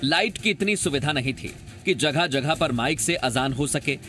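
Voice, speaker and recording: A man's voice: a little high, animated, moderate pace, pushed and bright. A man reading a scripted news report as a voice-over. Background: music bed.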